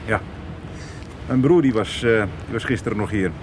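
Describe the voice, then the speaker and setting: A man's voice: on the low side, moderate pace, natural voice. Curt, secretive man in noisy surroundings, acted. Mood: wary. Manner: curt, hesitant, evasive. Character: secretive, evasive, irritable man, a wary conspirator. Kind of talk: radio soap acting.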